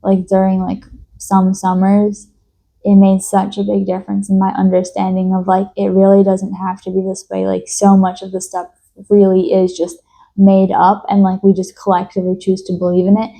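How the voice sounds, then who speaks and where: A young woman from New York talks in a slow, reflective personal monologue, thoughtful and hesitant, with many fillers and restarts. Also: medium pitch, monotone, creaky, mellow, poor audio. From United States. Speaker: vlogger.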